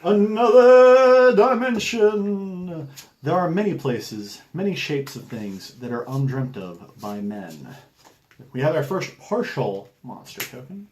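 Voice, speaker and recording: A man's voice: on the high side, lively intonation, slow, a little mellow, slight background noise. Man explaining game strategy. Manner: explains rules and strategy to others. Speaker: board game player and show regular. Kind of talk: casual tabletop game chat. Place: room around a game table.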